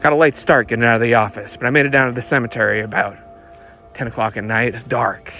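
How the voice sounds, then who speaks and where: A man acts a world-weary detective telling a dark case in noir monologue. He is brooding and knowing, with deliberate, dramatic phrasing. Music plays behind him. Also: medium pitch, steady tone. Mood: knowing, grim. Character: brooding, world-weary male hot rod detective narrator.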